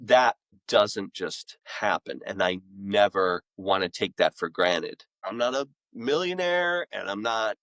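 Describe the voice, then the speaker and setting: A man's voice: on the low side, expressive, slow pace, hardly any pauses, a bit bright, dry recording, poor audio. A middle-aged man speaking frankly and self-deprecatingly in a conversational podcast interview as a guest author.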